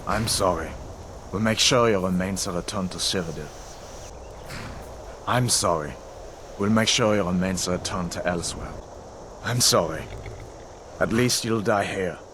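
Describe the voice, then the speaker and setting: A dutiful but sympathetic soldier in a fantasy video game. His voice is a little low and measured, with quiet authority, over clear ambient noise. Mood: resigned, apologetic. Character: dutiful, sympathetic male Imperial soldier with prisoner list. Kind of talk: video game character acting. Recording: noisy outdoor game scene ambience.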